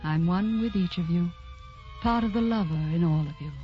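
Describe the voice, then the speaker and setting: An actress playing the spirit of romance, narrating an old radio drama in a lyrical, intimate, dramatic manner. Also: female voice, fairly low pitch, normal speed, natural voice. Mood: grand, dreamy. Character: Romance personified, dreamy, tender female storyteller. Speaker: narrator and host. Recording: dull vintage radio recording with music.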